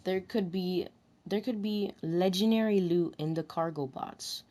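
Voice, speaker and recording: A woman with a slightly low voice narrates video game commentary. She reads it as scripted voice-over, deliberate in her delivery, and tends to restart and reword her sentences. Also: dark tone. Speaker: narrator recording voice lines about a video game.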